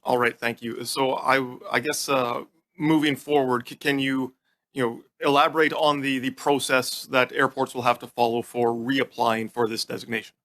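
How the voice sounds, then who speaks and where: Man, legislator questioning witnesses, medium pitch, bright forceful tone, formal, polite, direct. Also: flat delivery, moderate pace. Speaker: committee member questioning officials. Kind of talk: formal questioning, partly read from notes.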